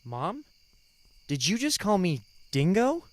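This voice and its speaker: A kindhearted young boy, played by a male actor in a radio drama, earnest and sweet. The voice sits fairly high for a male and moves in lively swings. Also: slow pace, heavily acted. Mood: eager, earnest. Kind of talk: radio play acting.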